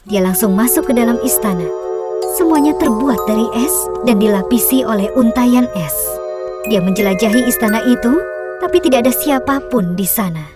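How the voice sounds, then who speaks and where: A woman narrating a scripted children's fairy tale in a measured, expressive storytelling manner. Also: fairly high pitch. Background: music.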